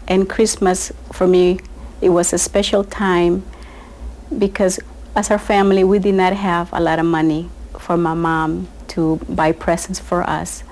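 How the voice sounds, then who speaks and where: Lady, lowish mellow voice, slow and gentle. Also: a little hiss or hum, rough recording. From Panama. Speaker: interviewee, dancer.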